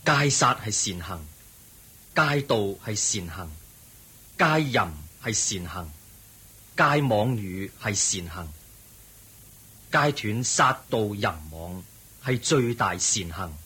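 The buddha in a radio play, calm sage preaching real slow and solemn, lowish voice that lifts and falls a lot. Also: male voice, husky, heavily acted. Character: the Buddha, serene male sage teaching a crowd. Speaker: religious teacher in a radio drama. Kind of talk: Cantonese radio drama acting.

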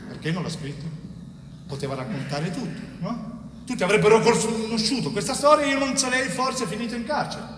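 A man's voice: sits high, lively intonation, brisk, a bit bright, a little hiss or hum. An actor playing a wronged innocent man in middle age in an intense stage monologue, bitter and weary, repeating phrases like an incantation. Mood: anguished, accusing. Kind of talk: dramatic theatre monologue. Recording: echoey theatre hall, distant mic, music.

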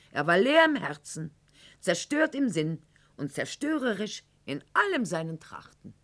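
A woman's voice: on the low side, full character voice. An actress playing a proud, bitter, sickly aristocratic lady in a theatrical radio monologue, with mocking, precise, formal diction. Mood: bitter, passionate.

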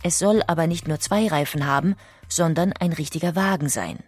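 A woman's voice: sits low, fairly quick, darkish tone, husky, soft background music. A woman delivering scripted documentary narration as a narrator.

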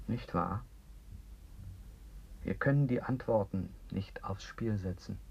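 A man acting an anxious, philosophising character in a German radio play, declamatory and rough-edged. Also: fairly low pitch, slow, highs cut off, exaggerated voice. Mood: weary, brooding.

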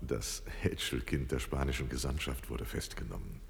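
An actor in a radio play voicing an official who gives a dry, itemised report. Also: male voice, a bass voice, normal speed, vocal fry. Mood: dry, smug. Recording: reverberant hall.

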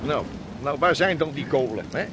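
Stern high-voiced ship's captain, played by a man. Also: expressive, normal speed, background noise, lightly acted. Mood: suspicious, angry. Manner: interrogating, commanding, scolding. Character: stern, suspicious sixteenth-century ship's captain. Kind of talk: radio drama acting.

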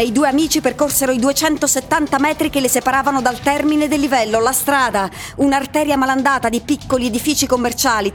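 An actress narrating a bleak futuristic story for a radio drama, in a measured literary style, with music behind her. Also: high voice, lightly acted. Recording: music bed under the voice.

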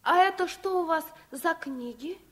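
Little girl in old radio play, super high voice, curious n dreamy, naive and earnest. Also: unhurried, fairly bright tone. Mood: curious, eager. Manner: naive, eager questioning. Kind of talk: Soviet radio play acting.

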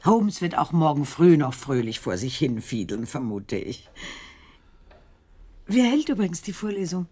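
A brisk, encouraging companion in a German radio drama. Her voice is husky and swings animatedly as she speaks with familiar persuasion. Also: sits low, very animated, warm tone, full character voice. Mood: urging.

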